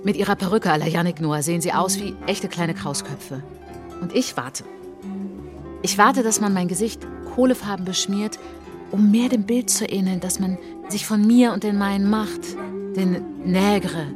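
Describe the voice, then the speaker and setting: An actress narrating as a reflective, resolute woman looking back on her childhood in a German radio drama, in measured short phrases. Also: mid-range voice, lightly acted. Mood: reflective, sober. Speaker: narrator of autobiographical monologue. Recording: music behind the voice.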